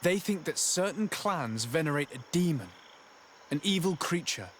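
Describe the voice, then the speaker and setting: A man's voice: on the high side, lively intonation, fairly bright tone, slight background noise. A formal, earnest young male envoy. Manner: formal, measured, questioning. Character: polite, earnest male envoy investigating in a fantasy land. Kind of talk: video game character acting.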